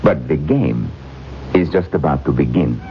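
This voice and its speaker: An actor playing a proud, vengeful male author of witchcraft books in a 1970s radio drama, swinging from furious outbursts to quiet, sly menace. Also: rumbling low, expressive, unhurried, soft background music, full character voice. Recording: dull 1970s radio recording.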